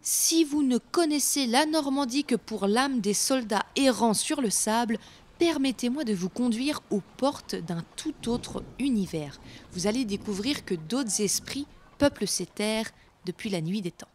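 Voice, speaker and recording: A woman's voice: on the high side, lively intonation, a little slow, darkish tone. A woman hosting a storytelling show, narrating scripted folk legends in a theatrical, literary manner. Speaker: host and storyteller.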